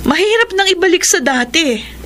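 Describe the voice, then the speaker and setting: An actress playing a defiant, unrepentant grown daughter in a Filipino radio drama, with a Filipino accent. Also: female voice, very high voice, animated, normal speed, pushed and bright, a little hiss or hum, full character voice. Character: defiant adult daughter Nitz, unrepentant adulterous wife.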